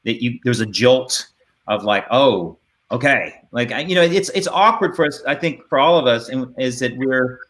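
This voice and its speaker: Middle-aged male host, video call, casual and halting, mid-pitched voice. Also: fairly bright tone. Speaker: theater host introducing cast. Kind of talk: casual promotional chat. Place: indoors at home or studio, on a video call.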